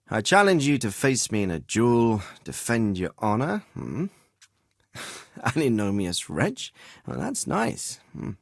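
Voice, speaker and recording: An actor playing a dignified, fatherly middle-aged nobleman in a medieval video game, speaking in formal, deliberate phrases. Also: medium pitch, no echo, studio quality, heavily acted. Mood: grim, disdainful. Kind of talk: scripted video game dialogue.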